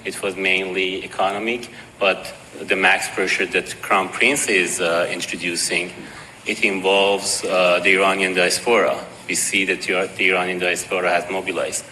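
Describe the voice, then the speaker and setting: A man's voice: sits low, flat delivery, sharp tone. Man, Persian accent, conference talk, hesitant fillers, long hall reverb, faint noise. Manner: measured, with frequent uh fillers and restarts. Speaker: conference speaker. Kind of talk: conference presentation.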